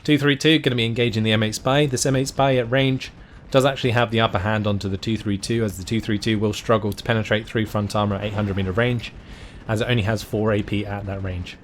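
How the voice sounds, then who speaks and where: A man with a hoarse, worn voice that he is losing, giving excitable live play-by-play commentary on a strategy game match and laughing often at surprising plays. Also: on the low side, fast talker, a little hiss or hum. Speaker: game caster.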